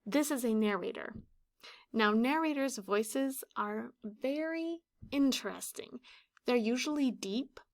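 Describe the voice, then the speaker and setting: A woman in her thirties, a teacher explaining a children's story with deliberate enunciation. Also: a little high, expressive. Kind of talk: instructional children's read-aloud. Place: small room indoors, classroom or office decor.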